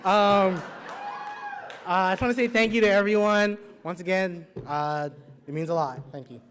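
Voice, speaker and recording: A man's voice: sits high, normal speed, fairly bright tone, long reverb tail, slight background noise. A man giving a casual, joking acceptance speech, with some swearing. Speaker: award winner.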